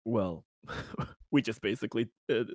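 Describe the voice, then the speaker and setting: Low-voiced man playing a tabletop roleplaying game. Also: a little mellow, no room sound, studio quality. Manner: halting, searching for words. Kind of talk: improvised in-game talk, describing his character's actions.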